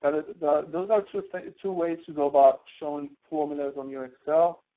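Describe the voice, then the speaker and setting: Man, fairly high voice, instructor, informal halting explanation, tinny phone-line sound. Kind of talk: spreadsheet tutorial, live online lesson.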